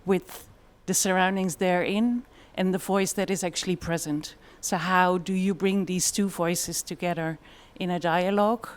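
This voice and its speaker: A woman's voice: lowish voice, fairly even intonation, normal speed, few pauses. A woman with a Dutch accent giving a conference presentation in a thoughtful way, with frequent fillers. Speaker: conference speaker.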